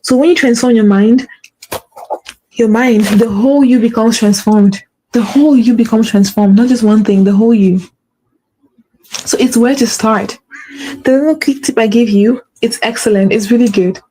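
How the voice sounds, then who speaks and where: A woman with a stutter leads a faith-based motivational talk, circling back to repeat her key phrases. Her voice sits a little high but sounds warm and mellow. A faint hiss stays under her speech. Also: fairly even intonation, normal speed, rough recording. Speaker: podcast host.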